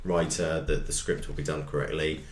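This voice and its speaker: A man's voice: rumbling low, level tone, normal speed. A man chatting casually and a little tentatively as a co-host, in an echoey, noisy room. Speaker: co-host of a video game chat show. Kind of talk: casual discussion among friends. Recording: echoey room with background noise.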